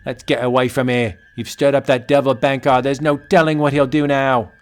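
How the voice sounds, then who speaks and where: A man playing a blustering, scornful colonial colonel, loud and dismissive, in a comic book audio drama. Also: medium pitch, little pitch movement, moderate pace, natural voice. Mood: alarmed.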